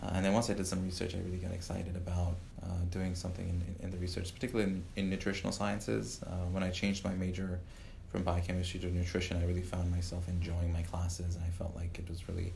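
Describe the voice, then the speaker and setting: A man in his thirties from Uganda, a professor answering interview questions in a measured way, with many "uh" fillers and restarts. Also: low voice, monotone, vocal fry, darkish tone, slight background noise. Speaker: professor and guest. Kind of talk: podcast interview.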